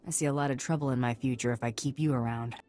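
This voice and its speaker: A woman's voice: very deep voice, fairly even intonation, fast talker, warm tone. A woman playing a tough, cool bounty hunter in a video game, with a dry, sardonic delivery.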